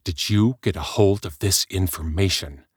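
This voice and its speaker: A man voicing a hostile, suspicious famous woman who talks harshly and briskly. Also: sits low, husky, no echo, studio quality.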